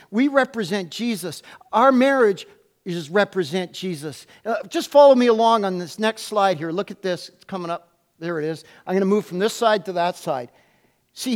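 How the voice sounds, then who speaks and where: A man pastor preaching a sermon in a loud, emphatic voice, repeating his questions and dropping playful asides. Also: sits high.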